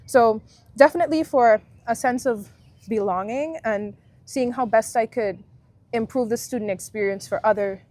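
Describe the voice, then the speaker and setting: Woman in her twenties, from Guyana, fairly high voice, relaxed reflective interview talk, friendly. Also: normal speed, no room sound. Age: 20s. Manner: reflective, friendly, thinks aloud with fillers. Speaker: alumna guest. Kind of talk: casual interview chat.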